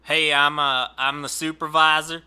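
A man playing a dim rural customer service rep on the phone, speaking in a put-on Southern drawl with a slow, stammering, folksy manner. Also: on the high side, flat delivery, sharp tone, clean tone.

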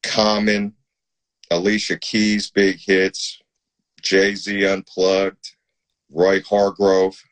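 Low-voiced man hosting a live-stream chat. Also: completely flat delivery, very slow, long pauses. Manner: admiring, emphatic, calls guest "man". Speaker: host. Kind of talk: casual interview on a live stream.

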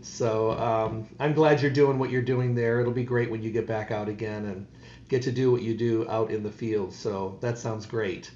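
A man's voice: on the low side, steady tone, normal speed, a little mellow, reverberant, muffled recording. A man hosting a radio talk show, folksy and rambling with many restarts. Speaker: radio host. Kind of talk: casual radio chat.

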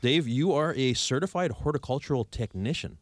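A man's voice: medium pitch, lively intonation, fairly quick, no echo. A man with a Canadian accent hosting a radio show in a conversational interview style. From Victoria, Canada.

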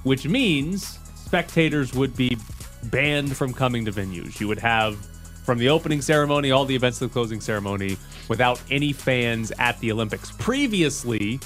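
A man co-hosting a sports chat show, reading out headlines and then riffing on them in a dry, easygoing way. His voice sits at a middle pitch, and faint music plays underneath. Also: expressive, normal speed, fairly bright tone. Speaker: sports talk show co-host. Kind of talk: casual sports talk.

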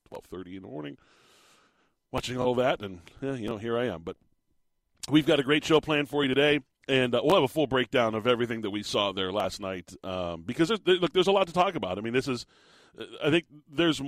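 A man hosting a local morning sports talk radio show, chatty and rambling with fillers, his voice a little raspy. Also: mid-range voice, dry recording. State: slightly raspy voice.

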